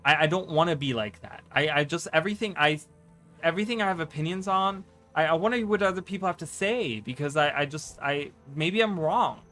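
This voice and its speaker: Male streamer, German native, talks in stammering loops, light raised pitch, low music bed. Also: a little fast, bright, forceful tone. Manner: rambling, stammering restarts, frequent "right?". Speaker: streamer and content creator. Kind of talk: casual live-stream chat.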